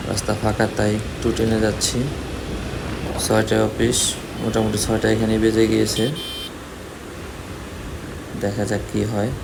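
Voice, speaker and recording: A man's voice: on the low side, completely flat delivery, moderate pace, pauses often. A man with a Bangladeshi accent giving casual running commentary as a vlogger, recorded outdoors on a moving motorbike. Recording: clear traffic and wind-like road noise. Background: vehicle and road noise.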